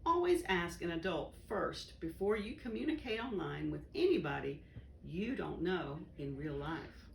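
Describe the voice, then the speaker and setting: A woman reading a children's educational book aloud in a deliberate, instructive way. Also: fairly low pitch, sing-song, creaky. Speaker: volunteer story reader. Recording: roomy echo, faint background noise.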